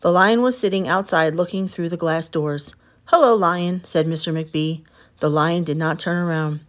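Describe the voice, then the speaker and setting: A woman reading a picture book aloud as an expressive storyteller for children. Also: sits low, normal speed. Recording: slightly dull, lo-fi sound.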